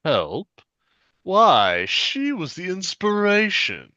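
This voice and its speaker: A man playing a stately, dry-witted aristocrat in a comedy sketch, speaking in a slow, pompous, measured way. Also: high voice, wildly swooping pitch, pushed and bright, no echo, muffled recording, pitched above the performer's own voice, full character voice.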